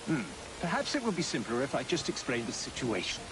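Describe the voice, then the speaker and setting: An actor playing an earnest, courtly elderly Victorian gentleman scientist with an English accent, speaking formally and theatrically in a game cutscene. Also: medium pitch, very animated, fairly quick, very noisy, natural voice. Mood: measured, urgent. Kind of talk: dramatic game cutscene acting. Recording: game audio under loud music.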